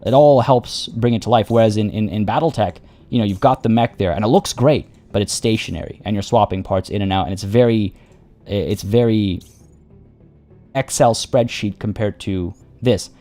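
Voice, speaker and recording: A fairly low-voiced young man chats casually over his game, his voice slightly worn. He rambles, restarts sentences and fills pauses with "uh" and "like". Faint game music plays softly behind him. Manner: rambling, many restarts and fillers. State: voice getting worn. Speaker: streamer. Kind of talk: casual gameplay commentary.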